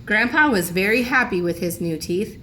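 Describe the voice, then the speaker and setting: A woman's voice: medium pitch, animated, normal speed, bright, forceful tone, slight background noise. A woman reading a children's storybook aloud like a teacher, expressively, lingering on key phrases for emphasis.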